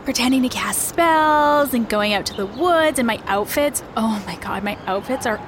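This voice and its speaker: A woman's voice: a little high, expressive, normal speed, background noise, lightly acted. A woman playing a bubbly, self-regarding young social media influencer in an audio drama, gushing in valley-girl style. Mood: bubbly, boastful. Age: young adult. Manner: gushing, filler-heavy valley-girl talk. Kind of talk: reality show confessional in audio drama. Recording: loud music bed behind voice.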